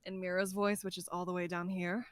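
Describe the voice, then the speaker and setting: A woman's voice: lowish voice, level tone, brisk, smooth, no echo. A woman chatting casually as a podcast guest, playful and giggly, often rambling and hesitating as she works out what to say. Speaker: voice actor guest being interviewed.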